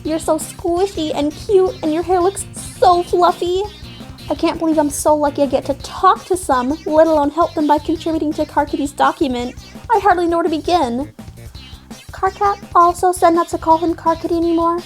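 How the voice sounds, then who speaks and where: Bubbly young feline huntress , woman performer, high cute voice, playful audio-drama role, music bed. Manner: cutesy, playful, peppered with meows. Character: bubbly, affectionate young female cat-like alien huntress. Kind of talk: fan audio drama character acting.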